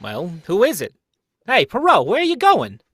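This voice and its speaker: A man's voice: high voice, wildly swooping pitch, normal speed, fairly bright tone, husky, dry recording, clean studio sound, exaggerated voice. A young man playing a brusque, baffled police detective in a radio mystery reading, plainspoken and emphatic. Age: young adult. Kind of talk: live reading of old-time radio mystery script.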